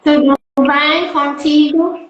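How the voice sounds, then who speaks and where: A woman's voice: high-pitched, fairly even intonation, slow pace, lo-fi sound. A woman with a European Portuguese accent hosting a casual live-stream chat as a holistic therapist. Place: echoey indoor room, online live call. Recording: very echoey room, live stream.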